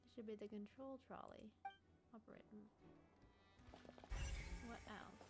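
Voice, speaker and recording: A woman's voice: medium pitch, steady tone, a little slow. A woman streamer giving informal gameplay commentary, thinking aloud and reading out bits of game text. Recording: loud game music behind voice. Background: game music.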